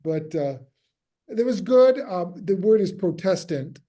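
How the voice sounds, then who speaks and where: A man leading a script table read, giving casual notes in a halting, hesitant way that often trails off. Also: high voice, expressive, dark tone.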